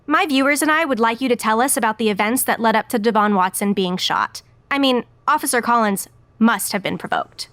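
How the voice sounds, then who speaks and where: A woman's voice: fairly high pitch, fairly quick, fairly bright tone, no room sound. A woman playing a stern, partisan talk show host with an assertive, pointed delivery in an audio drama. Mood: leading, insistent.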